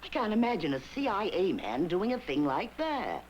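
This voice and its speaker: An actress playing a prim, unimpressed woman who talks in a slow, dry drawl. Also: medium pitch, big pitch swings, a little mellow, slight background noise, lightly acted. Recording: muffled sitcom audio with crowd.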